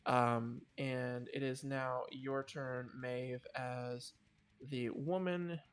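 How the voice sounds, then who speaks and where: A man's voice: mid-range voice, fairly even intonation, very slow, smooth. A man narrating a tabletop game as game master in a casual, loose, improvised way. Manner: casual, swearing.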